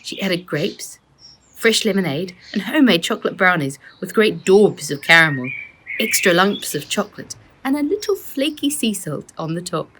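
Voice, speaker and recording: Expressive, low-pitched woman storyteller. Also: moderate pace. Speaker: author reading her book. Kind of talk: expressive children's storybook narration. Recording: faint noise and clicks.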